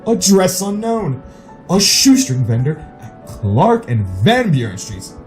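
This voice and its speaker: An actor playing a haughty, condescending upper-class husband in a retro radio drama, with a pompous manner. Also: male voice, on the high side, huge pitch swings, slow, darkish tone, faint music behind, exaggerated voice. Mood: scornful amusement.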